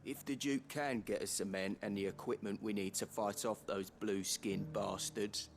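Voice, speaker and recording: An actor playing a gruff, defiant townsman in a fantasy video game, blunt and forceful. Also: fairly low pitch, steady tone, normal speed, a little hiss or hum, natural voice. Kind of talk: scripted game dialogue.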